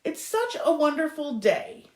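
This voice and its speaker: Woman putting on high, chirpy, pushy storybook animals. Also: sing-song, unhurried, darkish tone. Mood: insistent. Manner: insistent, chirpy. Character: cheerful, pushy storybook animals giving advice. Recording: echoey room.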